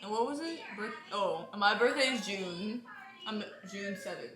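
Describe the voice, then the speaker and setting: A woman's voice: mid-range voice, animated, a little slow, reverberant, music in the background. A woman in her twenties, a vlog co-host, playfully bickering with her partner in casual chat. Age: 20s.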